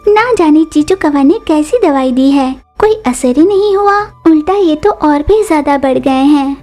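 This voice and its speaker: A woman's voice: sits high, expressive, unhurried, mellow, faint music behind, pitched above the performer's own voice. A woman voicing an indignant, demanding small female bird with a scolding, insistent manner in a cartoon story. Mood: annoyed.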